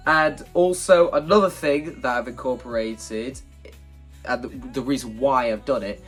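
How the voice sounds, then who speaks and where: A man's voice: mid-range voice, a little slow, a bit bright, soft background music. Casual man with a slightly stuffy nose. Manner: informal, self-deprecating, rambling. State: hay fever sniffles. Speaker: video creator presenting a stage play concept. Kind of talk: casual explanatory vlog.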